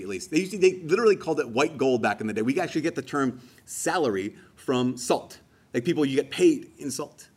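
A man's voice: on the high side, slightly rough. A man giving a homily in a conversational, emphatic way, rising on key phrases. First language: English. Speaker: preacher.

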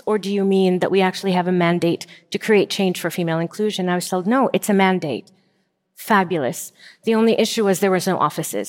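A middle-aged woman from Saudi Arabia telling her story as an interview guest, candid and emphatic. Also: mid-range voice.

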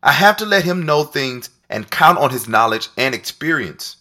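An actor playing a smitten, stressed senator in an audio drama, with a husky voice. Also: male voice, medium pitch, sing-song, normal speed, bright, forceful tone. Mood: pleading, defensive.

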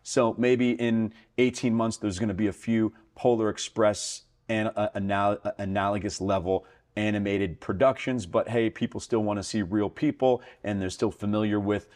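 A man's voice: fairly low pitch, steady tone, normal speed, hardly any pauses, a little mellow. A man hosting an interview, thinking aloud in a rambling way with many fillers and restarts. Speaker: podcast host. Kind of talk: conversational interview.

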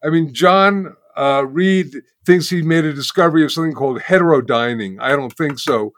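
Older man, interview guest, slightly high voice, dry, halting, explanatory. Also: no echo. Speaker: guest, sound healing teacher. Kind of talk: conversational explanation.

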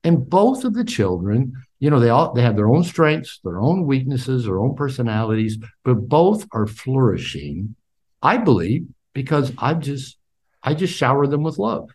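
A man's voice: mid-range voice, big pitch swings, normal speed, a little mellow. A man in his sixties, a podcast guest and author, talking casually and often repeating words as he starts sentences. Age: 60s. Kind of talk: casual interview talk. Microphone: call.

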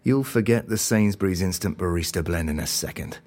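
An actor playing an easygoing, practical British office colleague in a naturalistic audio drama, casual and gently teasing. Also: male voice, low-pitched, fairly quick. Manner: casual, unfussy, reassuring.

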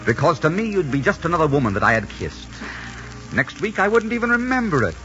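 An actor playing a suave, arrogant pirate leader in a 1940s radio drama. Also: male voice, on the high side, very animated, fairly quick, fairly bright tone. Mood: boastful, seductive. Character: swaggering, cynical pirate leader posing as respectable gentleman. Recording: muffled 1940s radio recording with music.

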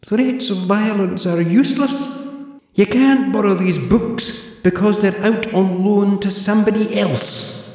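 A man puts on a withered old zombie librarian woman in this storybook reading, high and fussy, haughty and pedantic as she quotes the rules. Her voice rings in an echoey room with a long hall-like tail. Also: unhurried, a little mellow, a little hiss or hum. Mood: prim, officious.

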